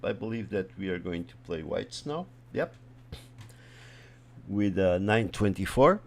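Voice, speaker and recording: A deep, unhurried man born in Portugal, whose first language is Portuguese, talks through his chess game for a small stream audience. He thinks out loud in a relaxed, casual way. His remarks often trail off into softer asides. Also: vocal fry. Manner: slow, thinking aloud, trails off into quiet asides. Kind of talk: casual live commentary over a chess game.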